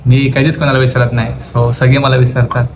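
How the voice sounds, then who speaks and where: A young man with a Maharashtrian accent talks in a playful, casual vlogger style. His speech is slangy and mixes in English words. The audio is clearly noisy. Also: mid-range voice, flat delivery, brisk. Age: young adult. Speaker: vlogger making a prank call. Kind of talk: casual teasing chat. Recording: clearly noisy phone-shot vlog audio.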